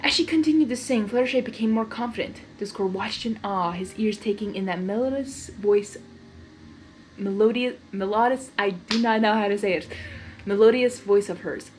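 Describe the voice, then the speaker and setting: A woman reading fan fiction aloud in an informal, excitable way, with casual reaction asides between the narration. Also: mid-range voice, normal speed, slight background noise, rough recording.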